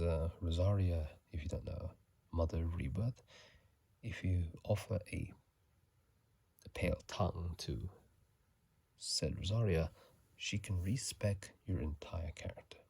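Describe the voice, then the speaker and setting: A man doing whispered ASMR commentary on a video game, soft and breathy, musing in a halting, casual way, close to a phone mic. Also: sits low, dry recording. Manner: halting, musing, unhurried delivery. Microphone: close phone microphone.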